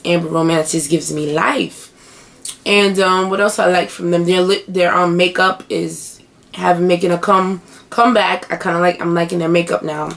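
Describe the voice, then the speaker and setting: Young woman on her webcam, hoarse and gravelly, chatty, small bedroom. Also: lowish voice. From United States. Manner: chatty, animated hand gestures. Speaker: vlogger. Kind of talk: casual chat.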